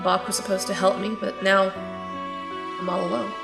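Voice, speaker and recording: A woman's voice: mid-range voice, flat delivery, moderate pace, creaky, natural voice. A woman playing a lost young Kansas girl, bossy, exasperated and overwhelmed, in an audio drama. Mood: downcast. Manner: urgent, exasperated, sarcastic. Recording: roomy echo, music underneath. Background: music.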